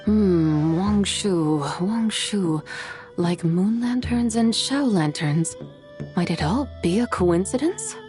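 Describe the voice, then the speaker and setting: A woman's voice: medium pitch, animated, takes it slowly, a little mellow, faint music behind. A woman playing a grand, eccentric, playfully boastful immortal in a video game, with lofty, theatrical phrasing. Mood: musing.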